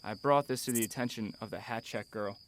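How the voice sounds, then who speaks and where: A man's voice: lowish voice, fairly quick, a little hiss or hum, natural voice. An actor playing a wistful, proud middle-aged father in a radio drama, articulate, wry and formal. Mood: reflective, wry. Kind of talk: radio drama acting, letter narration.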